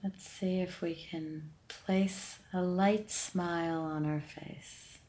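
A woman leading a guided meditation in a calm, soothing, unhurried way, leaving long pauses between gentle instructions. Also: fairly low pitch. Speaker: meditation podcast host and guide.

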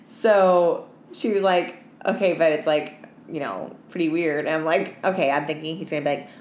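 A woman's voice: low-pitched, moderate pace. A woman co-hosting a podcast, telling stories in a casual, gossipy, sweary way. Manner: sweary, animated storytelling. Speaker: podcast co-host. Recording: roomy echo, clear background noise.

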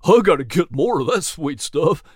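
A man's voice: fairly high pitch, big pitch swings, normal speed, breathy edge, no room sound, clean studio sound. A man doing a dim, eager, greedy horse. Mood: delighted, greedy.

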